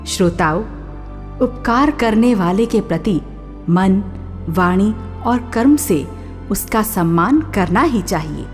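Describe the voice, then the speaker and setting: Slow, measured woman narrator. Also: mid-range voice. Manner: measured, didactic. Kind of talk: scripted radio drama narration in Hindi. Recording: soft music under the voice.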